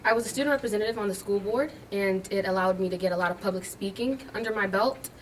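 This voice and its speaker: A woman's voice: medium pitch, fast. A young woman, a graduating student, answering interview questions in short, earnest statements. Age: young adult. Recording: distant mic, faint noise.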